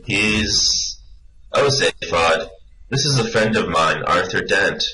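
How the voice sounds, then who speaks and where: A man's voice: sits low, animated, slow, sharp tone, heavily acted, rough recording. A man playing a friendly, easily astonished alien traveller in a radio play, with noise behind him. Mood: cheerful. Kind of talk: amateur radio play acting.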